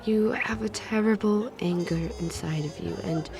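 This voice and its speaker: A woman's voice: mid-range voice, moderate pace, darkish tone, close to an everyday voice. Poised, commanding rich woman played by an actress. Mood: cool, assured. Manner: formal, self-assured.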